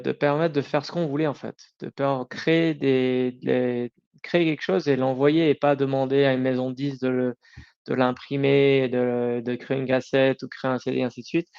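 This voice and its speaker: A man's voice: medium pitch, steady tone, vocal fry, a little mellow, clean tone, lo-fi sound. A middle-aged man answering interview questions informally, often breaking off and rephrasing. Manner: informal, halting, self-correcting. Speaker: podcast guest, company founder.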